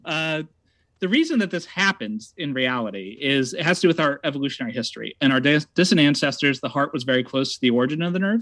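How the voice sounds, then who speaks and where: Man, slightly high voice, rapid delivery, argumentative and emphatic. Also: fairly bright tone, dry recording. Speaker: debater. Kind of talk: prepared debate argument.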